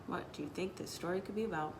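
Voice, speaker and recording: A woman's voice: fairly low pitch, quick pace, a little hiss or hum. A woman speaking as a children's librarian, hosting story time with announcements and read-aloud for young listeners.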